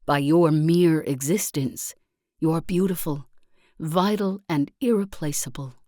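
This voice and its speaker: Slow, low-voiced serene guru. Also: female voice, dark tone, no echo, studio quality. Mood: earnest, reverent. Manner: measured, solemn, preacherly. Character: serene female spiritual self-improvement guru, earnest and uplifting. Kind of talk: scripted game voice lines, motivational speech.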